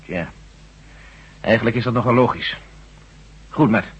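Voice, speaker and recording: An actor playing a determined army general in a radio drama, assertive and reasonable, probing with questions. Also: male voice, mid-range voice, a little slow, husky. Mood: decisive. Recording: dull lo-fi old radio recording.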